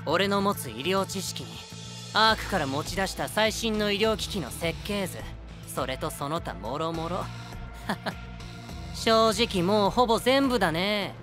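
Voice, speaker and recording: A man's voice: high-pitched, lively intonation, measured pace, music in the background. A flippant blunt young man. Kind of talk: anime voice drama acting.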